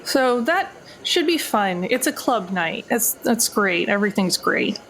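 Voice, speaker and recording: Lady doing a sardonic young woman recruit in a tabletop game, dry and reluctant, average pitch. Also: very animated, measured pace, fairly bright tone, rough recording. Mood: sarcastic, reluctant. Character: reluctant, sarcastic young female recruit agent.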